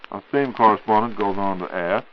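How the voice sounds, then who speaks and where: A man with a lowish voice and an American accent announces a 1940s radio program. His delivery is formal, measured and polished, reading from a script. The sound is the dull, narrow one of an old broadcast. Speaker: radio show host and announcer. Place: radio studio.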